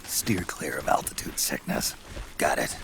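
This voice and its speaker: A man playing a gruff, weary older soldier hero in a video game cutscene, terse and grim, with a growling rasp. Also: unhurried, a little hiss or hum, full character voice. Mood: terse, questioning. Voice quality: very deep, gravelly rasp. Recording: loud music behind the voice.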